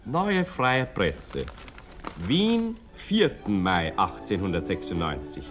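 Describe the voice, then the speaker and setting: Slow, declamatory old-time newspaper reader. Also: male voice, on the low side, wildly swooping pitch, music in the background, exaggerated voice. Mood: formal, solemn. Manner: measured, declamatory. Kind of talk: formal read-aloud narration in a radio drama.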